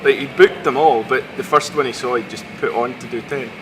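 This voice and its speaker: A young man from Scotland, a student and stand-up comedian, chatting casually and in a low-key way in an interview. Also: a little fast, music in the background, low-quality recording. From Scotland (Glasgow). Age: young adult. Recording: street noise outdoors.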